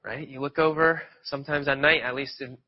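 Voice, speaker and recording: A man's voice: mid-range voice, fairly even intonation, a little fast. A man with a cold, sniffling a little, giving an informal, conversational sermon and wandering into loose stories with restarts. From Pennsylvania, near Philadelphia. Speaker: lay preacher. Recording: dull lo-fi sound.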